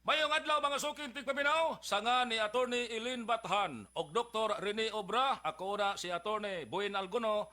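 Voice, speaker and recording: Bright, high-voiced Cebuano radio host. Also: male voice, moderate pace. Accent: Cebuano Filipino. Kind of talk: radio programme introduction.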